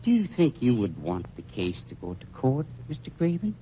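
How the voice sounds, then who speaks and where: A man's voice: medium pitch, wildly swooping pitch, mellow. A man playing an oily, falsely polite undertaker who blackmails a gangster, in 1940s radio acting on a dull, lo-fi recording with faint hiss. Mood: unctuous. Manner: unctuous, falsely polite, with drawn-out pauses. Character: oily, smooth-talking male undertaker and blackmailer.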